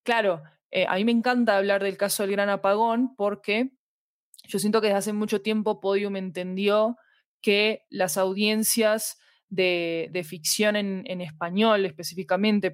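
A woman's voice: medium pitch, no echo, clean studio sound. A woman with a Latin American Spanish accent speaking as a podcast guest in a reflective, discursive way, often checking in with small tag questions. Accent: Latin American Spanish with voseo. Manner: thoughtful, discursive, seeks agreement with tag questions. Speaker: podcast guest, fiction podcast creator. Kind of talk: reflective conversational interview.

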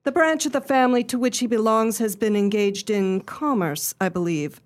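A woman playing an earnest, genteel young lady in a radio play, telling her story in formal, measured phrases. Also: a little high, close to an everyday voice. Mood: earnest, grave.

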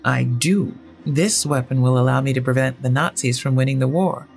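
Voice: mid-range voice, normal speed, vocal fry, darkish tone, faint music behind, natural voice. A storyteller voicing a guarded, fanatically determined male inventor who explains himself in a formal, grave manner in an audio story.

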